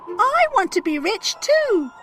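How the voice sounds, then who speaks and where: A spoiled little girl played by a woman from a children's storybook cartoon, whiny and greedy. The voice sits high and swings up and down with lively expression, over faint music. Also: takes it slowly, full character voice. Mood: greedy, eager.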